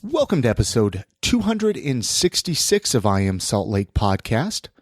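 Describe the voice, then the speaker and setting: A man's voice: medium pitch, sing-song, normal speed, over music. An American man hosting a podcast in a casual, chatty style, slightly congested from a head cold. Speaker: podcast host. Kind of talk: casual podcast chat and sponsor reads.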